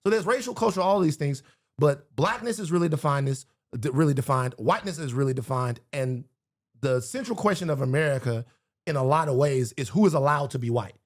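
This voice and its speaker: Higher-voiced man talking emphatically. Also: expressive, brisk. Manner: emphatic, builds points through repetition. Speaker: guest. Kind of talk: casual political conversation.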